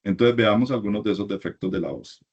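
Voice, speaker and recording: Low husky male lecturer. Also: animated, quick pace, darkish tone, muffled recording. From Colombia. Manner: emphatic, repeats phrases in lists. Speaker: university lecturer. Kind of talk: classroom lecture.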